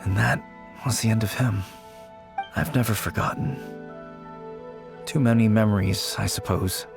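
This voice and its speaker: A man playing a gentle, anxious, melancholy man in a video game, speaking in a hesitant, worried, halting way. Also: fairly low pitch, creaky, music in the background. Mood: mournful.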